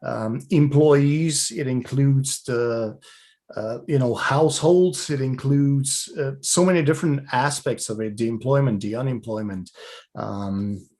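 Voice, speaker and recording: A man from Slovenia giving a webinar talk over a video call, in a relaxed and chatty way. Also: mid-range voice, unhurried. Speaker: guest speaker. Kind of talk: presenting a webinar.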